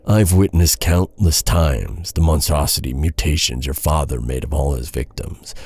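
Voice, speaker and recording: An actor playing an embittered, vengeful knight in a dark fantasy audio roleplay, brooding and intense, with halting dramatic delivery. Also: male voice, rumbling low, breathy edge, dry recording, heavily acted. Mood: grave, restrained. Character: vengeful, embittered male knight interrogating his captive enemy.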